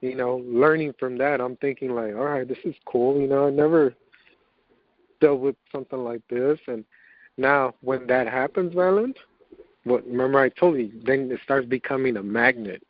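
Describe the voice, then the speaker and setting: A man talks over a muffled, very dry conference call in a medium-pitched voice. His style is casual and motivational, full of anecdotes and questions he puts to himself. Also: moderate pace, no room sound. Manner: informal, anecdotal, self-questioning. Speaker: participant in a self-improvement conference call. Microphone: conference call line. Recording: dull, lo-fi conference call audio.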